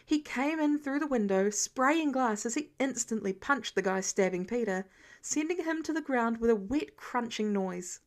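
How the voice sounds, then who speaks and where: A woman's voice: a little high, expressive, moderate pace. A woman reading scripted fan fiction narration as an audiobook-style storyteller.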